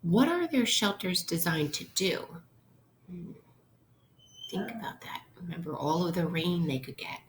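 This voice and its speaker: Female primary teacher, read-aloud lesson style, slightly low pitch, unhurried instructional delivery, slight background noise. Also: lively intonation. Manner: instructional, posing questions to listeners. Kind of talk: interactive read-aloud lesson for young children.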